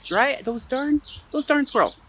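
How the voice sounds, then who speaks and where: A woman from Canada reads a children's storybook aloud outdoors in her backyard. Her pitch swings widely as she hams up the story with playful exclamations, and birds chirp faintly behind her. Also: measured pace, a little hiss or hum. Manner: expressive, playful, adds exclaimed asides. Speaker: museum storyteller. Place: own backyard.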